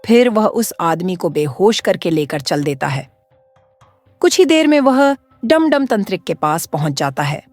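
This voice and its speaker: A woman narrates a horror cartoon story with a lively, animated rise and fall in her pitch. Her delivery stays steady, with faint music in the background. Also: unhurried, no echo.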